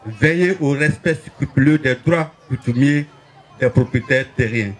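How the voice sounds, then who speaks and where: A man's voice: mid-range voice, unhurried, slight background noise. A man formally reading out written recommendations as a community spokesman.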